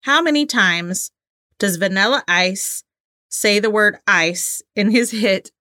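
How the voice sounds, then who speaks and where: A woman co-hosting a trivia game with casual, playful banter and a giggly, excitable manner. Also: medium pitch, slow, no room sound, studio quality. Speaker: trivia game show co-host and contestant.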